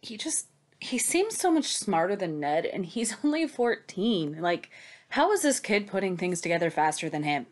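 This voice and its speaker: Woman doing sarcastic book recaps, swings up and down a lot, kinda low voice, swears. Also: very animated, normal speed. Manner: snarky, casual, sweary, emphatic. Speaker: podcast co-host giving recaps. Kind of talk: sarcastic, scripted-sounding book recap and discussion.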